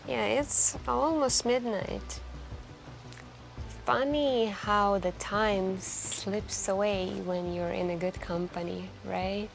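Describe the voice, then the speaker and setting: A woman playing a wistful, soft-spoken stranger in a late-night café, confiding hesitantly in an intimate ASMR roleplay. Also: mid-range voice, steady tone, gravelly. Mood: gently curious. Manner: hesitant, musing, confiding, with gentle pauses. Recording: continuous quiet background music. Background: quiet background music.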